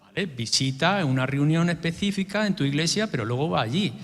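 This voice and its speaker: A man's voice: fairly high pitch, lively intonation, brisk. A man on a conference panel answering directly, asking and answering his own questions. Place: conference hall. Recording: reverberant hall.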